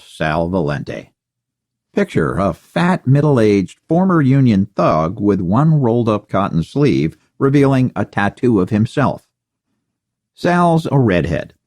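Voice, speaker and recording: A man reads first-person fiction in a low voice with lively, varied intonation. The style is wry audiobook narration, recorded dry and close. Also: no echo.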